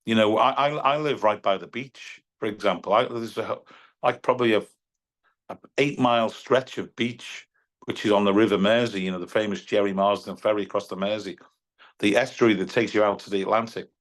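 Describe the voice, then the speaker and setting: A man's voice: lowish voice, fairly even intonation, fairly quick. A man, a guest in a remote interview, talking in a chatty way and often repeating words as he restarts. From England (Crosby, near Liverpool). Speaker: guest, music venue director and singer-songwriter. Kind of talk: casual interview chat.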